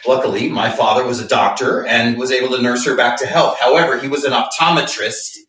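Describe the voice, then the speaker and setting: An actor in a staged play reading, playing a wry, hard-drinking eccentric who tells droll, long-winded stories theatrically. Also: male voice, medium pitch, steady tone, a little fast, a bit bright, lightly acted. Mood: droll reminiscing. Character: wry, eccentric, hard-drinking male raconteur, thesis mentor. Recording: roomy echo, distant mic.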